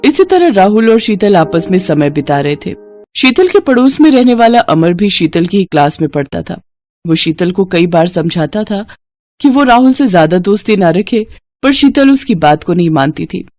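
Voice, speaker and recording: A woman's voice: mid-range voice, very animated, normal speed, darkish tone, no echo, soft background music. A woman reading scripted narration as the storyteller of a dramatized tale.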